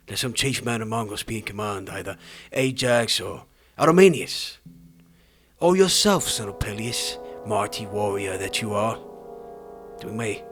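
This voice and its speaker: A man's voice: fairly low pitch, close to an everyday voice. A man playing an enraged, arrogant king in a dramatized epic audiobook, declaiming with threats and haughty command, over music.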